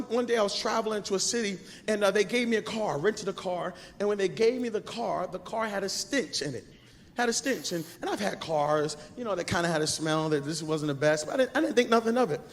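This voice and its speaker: A man's voice: very high-pitched, pushed and bright. A man, a pastor, preaching a sermon in a rhythmic, half-sung cadence. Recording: echoey hall.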